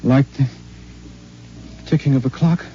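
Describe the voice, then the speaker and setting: An actor playing a worldly, self-assured married man who talks briskly and persuasively, with sighs and hums, in a 1950s radio drama. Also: mid-range voice, fairly even intonation, music in the background, natural voice. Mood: alarmed. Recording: dull lo-fi 1950s radio broadcast with faint hiss.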